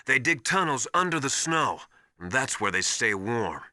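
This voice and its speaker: A man's voice: medium pitch, big pitch swings, normal speed, sharp tone, no echo, studio quality. An actor playing a seasoned survival instructor in a video game, briefing his trainee in an instructive, encouraging, matter-of-fact manner.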